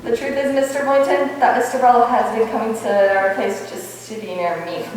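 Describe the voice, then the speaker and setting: A woman playing a wry, quick-witted schoolteacher in a radio comedy, with dry wisecracking delivery. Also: fairly high pitch, fairly even intonation, distant mic, lightly acted. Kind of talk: 1940s-style radio comedy stage acting. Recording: echoey hall from afar, faint noise.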